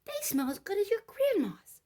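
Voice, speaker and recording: A woman voicing excited, hungry young children in a picture story, with drawn-out, eager exclaiming. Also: very high voice, voice pushed up. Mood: admiring.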